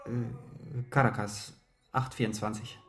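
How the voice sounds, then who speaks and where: An actor playing a troubled, self-loathing young man from a poor background in a German radio play, with hesitant, dramatic pauses. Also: medium pitch, flat delivery, vocal fry, music in the background. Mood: hesitant confession. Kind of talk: German radio play acting with inner monologue.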